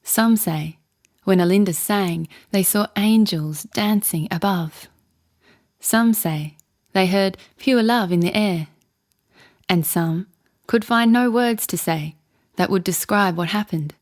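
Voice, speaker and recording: A woman narrating a children's bedtime story in an expressive, measured storytelling manner. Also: mid-range voice.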